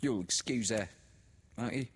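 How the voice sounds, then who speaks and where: A man's voice: on the low side, unhurried, husky, voice pushed up. Man putting on an oily, sly little woman. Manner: wheedling and falsely polite.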